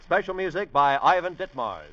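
A man's voice: a little high, expressive, moderate pace, very noisy. A man announcing formally in the style of 1950s network radio. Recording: muffled old radio recording.

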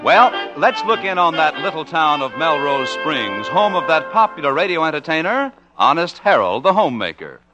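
Animated 1950s male radio announcer. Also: on the high side, moderate pace, hardly any pauses. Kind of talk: 1950s radio comedy announcing, scripted narration. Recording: dull old radio recording. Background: music.

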